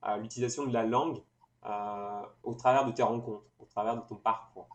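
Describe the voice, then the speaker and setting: A man hosting an interview, putting long, reflective questions in a measured, wordy way. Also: fairly low pitch, steady tone. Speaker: interviewer, host. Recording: echoey room.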